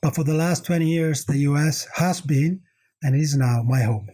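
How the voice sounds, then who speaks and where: Husky middle-aged Italian-accented man. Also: fairly high pitch, normal speed, mellow. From Italy. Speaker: corporate technology executive, interview guest. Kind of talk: conversational interview answers.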